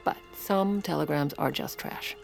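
A woman playing a sharp, resolute president's wife in an audio drama, blunt and wry in both narration and dialogue. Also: low-pitched, unhurried, creaky, soft background music, heavily acted. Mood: wry, dry.

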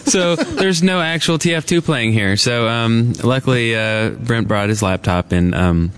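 A man's voice: mid-range voice, unhurried, a bit bright. A man co-hosting a live podcast at a convention, telling a rambling story full of fillers. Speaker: podcast co-host. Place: convention floor.

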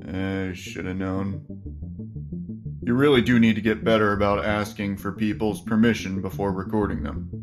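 A man's voice: on the low side, steady tone, normal speed, over music, close to an everyday voice. A man playing a level-headed, mildly chiding police detective in a naturalistic audio drama.

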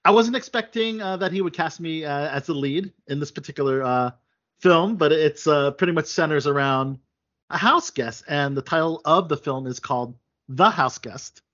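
A man's voice: a little high, moderate pace, a bit bright. A man hosting a casual chat show, talking at length with many fillers and restarts. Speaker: podcast host. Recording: dull lo-fi sound.

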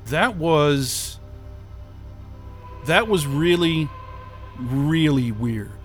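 An actor playing a nervous, disoriented job interviewee in an audio drama, hesitant, halting and defensive. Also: male voice, fairly high pitch, expressive, soft background music. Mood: confused, uneasy. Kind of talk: naturalistic audio drama acting.

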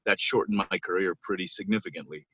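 A man's voice: low voice, quick pace, sharp tone, breathy edge, dry recording. A man in his thirties from Israel, giving conversational interview answers as a podcast guest over a band-limited call. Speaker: podcast guest, basketball coach.

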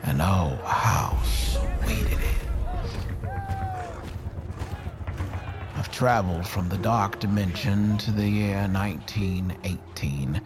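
A man's voice: deep voice, background noise, exaggerated voice. An actor playing a sinister, gleeful otherworldly horror host, pompous and theatrical, savouring each phrase as he narrates with menace. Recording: loud music behind the voice.

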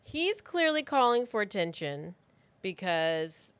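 A woman's voice: medium pitch, very animated, slow, fairly bright tone, clean tone, no echo. A woman hosting a true crime podcast, telling the story in a casual, conversational way with informal, blunt asides, close to the microphone.

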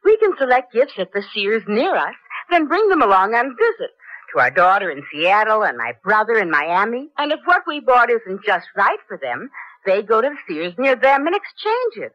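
A woman's voice: fairly high pitch, big pitch swings, moderate pace, fairly bright tone, no room sound. A middle-aged woman giving a scripted testimonial in a 1970s radio commercial. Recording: dull lo-fi radio broadcast.

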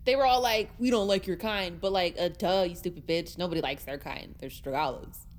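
A woman's voice: fairly low pitch, brisk, lightly acted. Brash, wisecracking teenage girl, played by a woman. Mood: flippant. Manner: flippant, exclamatory, teasing. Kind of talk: audio drama dialogue.

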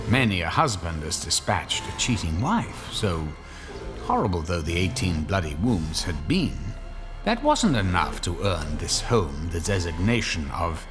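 A man's voice: deep voice, exaggerated voice. A man playing a sly, theatrical horror storyteller who relishes grisly tales in dramatic scripted narration. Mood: sly, macabre. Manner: theatrical, sly, dropping toward hushed emphasis.

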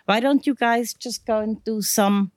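A woman's voice: on the high side, a little slow, darkish tone, dry recording, studio quality. An older woman with a South African accent, reminiscing casually in a blunt, matter-of-fact way. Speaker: musician's mother, interview guest.

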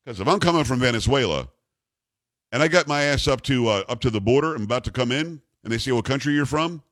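American male radio host, political commentary, lively swings in pitch, incredulous, sarcastic, dry studio sound. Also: fast talker, no echo.

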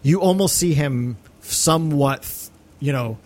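A man's voice: on the high side, a little slow, darkish tone. A man co-hosting a podcast, giving opinions in a casual, conversational film analysis. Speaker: podcast co-host.

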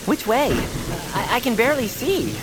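A man's voice: extremely high pitch, animated, moderate pace, heavy background noise. A man voicing a timid, polite young woodland creature in an audio drama, speaking hesitantly. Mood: nervous, soft. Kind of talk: audio fiction character acting.

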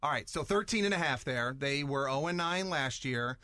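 A man hosts a sports podcast in a relaxed, chatty way and keeps the questions moving. His voice sits at a middle pitch. He is recorded close and dry, with no room sound. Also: moderate pace, no echo. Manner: easygoing, conversational, leads the questions. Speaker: podcast host. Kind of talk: casual sports podcast hosting.

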